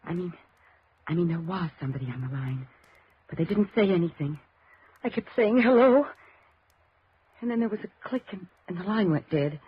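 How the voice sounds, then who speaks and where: Frightened daughter, old radio drama: American, very husky and breathy, halting, pleading; muffled vintage recording. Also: female voice, lowish voice, animated. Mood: anxious, shaken. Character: frightened, insistent daughter suspecting her stepmother of murder. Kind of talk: 1950s radio drama acting.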